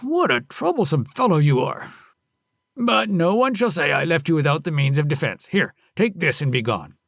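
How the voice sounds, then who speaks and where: A storyteller voicing a brutal, greedy male highway robber in a bullying, shouting manner. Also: big pitch swings, normal speed, creaky, no room sound, clean studio sound. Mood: grudging.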